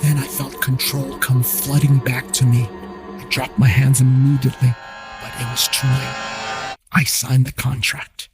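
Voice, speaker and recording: A man's voice: mid-range voice, little pitch movement, unhurried, creaky. A man telling a scripted first-person story as the narrator of an audio drama. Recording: music bed behind voice.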